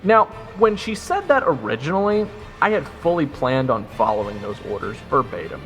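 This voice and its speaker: A man's voice: medium pitch, big pitch swings, moderate pace, fairly bright tone, soft background music. A man playing a wry, self-deprecating young soldier narrating his fantasy adventure in first person. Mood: wry, tense.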